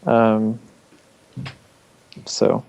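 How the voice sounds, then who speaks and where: A man with a nasal voice, speaking as a musician guest in a casual interview, open and reflective, often pausing with ums and restarts. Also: on the low side, completely flat delivery, vocal fry, a little mellow, slight background noise. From Canada.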